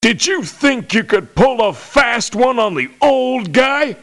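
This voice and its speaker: A cantankerous old American soldier of fortune for a video game. His voice is high and slow, swooping in a folksy, scolding way at the young. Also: animated, a bit bright, full character voice. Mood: crotchety. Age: older. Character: crotchety old male veteran mercenary, scornful of youngsters. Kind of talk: video game character voice lines.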